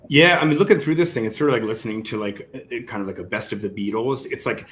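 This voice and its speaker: A man's voice: medium pitch, fast, a bit bright, a little hiss or hum. A man hosting a casual literary interview, speaking in a rambling, conversational way with lots of "like". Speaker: interviewer, host.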